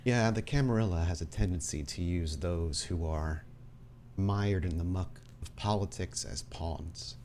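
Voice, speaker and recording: A man playing a commanding male crime patriarch who speaks in a measured, deliberate way. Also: deep voice, mellow. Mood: calm menace. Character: commanding, coolly smiling male crime patriarch with ringed fingers.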